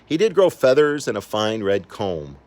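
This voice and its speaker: An older man reading a children's story aloud in an expressive, animated way, acting out the characters. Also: lowish voice, normal speed. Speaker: storybook reader.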